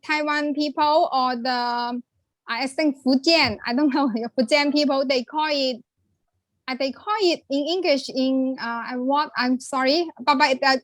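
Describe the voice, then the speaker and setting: A woman from China, a native Chinese speaker, talking in English in a casual group chat, halting and searching for words with many fillers. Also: sits high, fairly bright tone, no echo. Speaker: participant.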